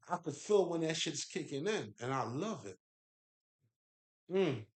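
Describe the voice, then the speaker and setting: A man's voice: a little high, wildly swooping pitch, slow, fairly bright tone. Haitian-accented man in an echoey room. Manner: loose, profane, emphatic, laughs often. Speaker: spiritual speaker. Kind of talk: casual spiritual talk.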